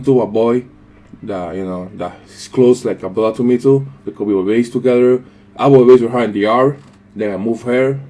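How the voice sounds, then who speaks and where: Laid-back Caribbean-accented young man, low voice. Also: warm tone, slight background noise. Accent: Caribbean English from the U.S. Virgin Islands. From Dominican Republic. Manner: rambling, profane, says "you know what I mean" constantly. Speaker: vlogger answering viewer questions. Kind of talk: casual unedited vlog.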